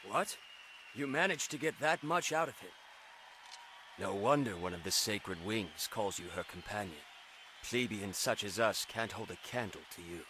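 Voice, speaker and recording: An actor playing a proud, chivalrous knight in a fantasy game, speaking in stately, formal phrases. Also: male voice, on the low side, expressive, normal speed, background noise, natural voice. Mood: solemn respect. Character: proud, chivalrous knight in armour, praising a hero.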